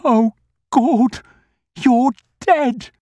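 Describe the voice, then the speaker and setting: A man's voice: on the high side, sing-song, takes it slowly, darkish tone, dry recording, pitched above the performer's own voice, full character voice. A man voicing an anxious, kindly old male corner grocer who fusses with old-fashioned politeness. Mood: horrified.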